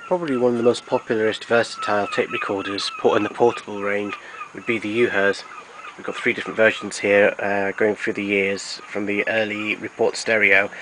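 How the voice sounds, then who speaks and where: A man giving an informal, matter-of-fact demonstration as a collector, explaining things in a halting way. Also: lowish voice, background noise. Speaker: collector presenting his tape recorders. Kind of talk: informal product demonstration narration.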